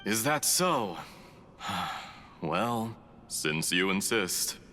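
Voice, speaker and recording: Polite suave schemer, low voice, bright edge, theatrical, long reverb. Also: male voice, sing-song, very slow, heavily acted. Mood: smooth, cautioning. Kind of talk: video game voice acting. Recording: long hall reverb, faint rumbling noise.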